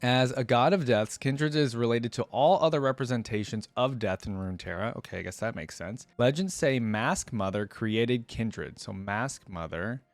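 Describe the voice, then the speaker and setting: A man's voice: mid-range voice, moderate pace, hardly any pauses, no room sound. A man giving casual reaction commentary, reading lore aloud and adding curious, informal asides as he goes. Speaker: reaction video creator.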